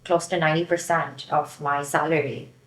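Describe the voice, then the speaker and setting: A low-pitched woman from Pakistan talks candidly about her work as a podcast guest. She speaks in a direct conversational way, often tagging her points with "right?". The room around her has a noticeable echo. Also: level tone, normal speed. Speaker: company founder, guest.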